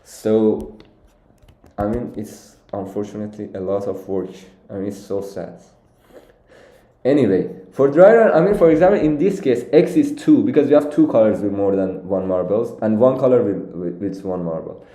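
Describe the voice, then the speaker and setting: A man's voice: lowish voice, moderate pace, mellow, echoey room. A young man originally from Iran, walking through contest solutions on a live stream and thinking aloud with many fillers and restarts. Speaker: competitive programming streamer.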